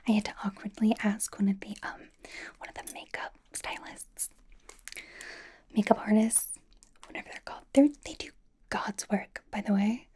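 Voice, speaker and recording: A woman playing a bubbly, nervous young K-pop idol in an ASMR roleplay, whispering softly in a chatty, rambling, reassuring way. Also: mid-range voice, little pitch movement, normal speed, long pauses. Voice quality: soft, breathy whisper.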